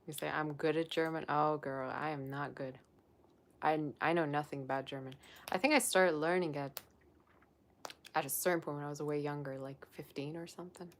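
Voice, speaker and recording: A woman's voice: low-pitched, steady tone, normal speed, slight background noise. A young woman, a psychic tarot reader, chatting casually on a livestream and reading viewer comments aloud. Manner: chatty and rambling, with many "like" fillers.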